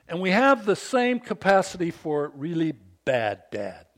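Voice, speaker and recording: A man in his fifties preaching a sermon to fathers, with a big, animated delivery that calls for amens from the congregation. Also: high-pitched, a little slow. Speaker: pastor.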